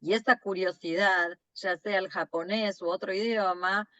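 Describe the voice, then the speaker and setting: Bright, dry-sounding woman host. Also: medium pitch, fairly even intonation, normal speed, dry recording. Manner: reflective, builds her point in short phrases. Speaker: podcast host. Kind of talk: casual podcast conversation.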